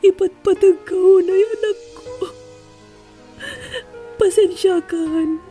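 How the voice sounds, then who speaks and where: A woman's voice: extremely high pitch, steady tone, dark tone. A woman playing a remorseful, distraught mother in a melodramatic audio drama, tearful and pleading. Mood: remorseful, relieved. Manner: tearful, pleading, emotionally drawn-out. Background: music.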